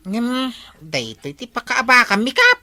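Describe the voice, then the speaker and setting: A cheeky, brisk village watch member in an Ilocano radio comedy. The voice is bright and forceful, fairly high, with lively swings. She has an Ilocano accent. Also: no echo, exaggerated voice. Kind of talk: comedy radio drama acting.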